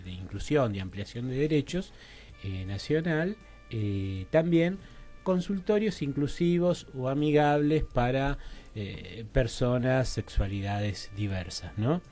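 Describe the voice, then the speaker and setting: A mellow, soft-edged male voice with a Rioplatense Argentine accent, mid-pitched, over faint music. He explains things as a radio guest, haltingly, often searching for the next word. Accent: Rioplatense Argentine Spanish. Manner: hesitant, searching for words, often adds tags. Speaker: guest psychologist and researcher. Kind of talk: explanatory radio interview talk. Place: radio studio table.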